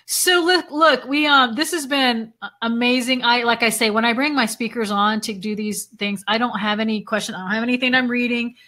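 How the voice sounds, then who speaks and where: A woman's voice: on the high side, fairly even intonation, a little fast, few pauses, sharp tone. A woman host on a live video chat, chatty and full of fillers, who is losing her voice. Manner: chatty, laughs often, many fillers. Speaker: event host interviewing a speaker.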